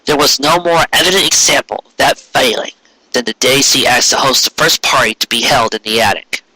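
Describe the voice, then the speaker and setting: Forceful, husky male storyteller. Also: medium pitch, fairly quick, no room sound, rough recording. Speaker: story narrator. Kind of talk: scripted fan fiction storytelling.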